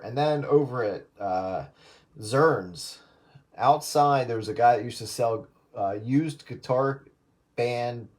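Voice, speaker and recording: A man chatting casually and reminiscing as he takes part in a radio round table. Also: medium pitch. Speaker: radio operator.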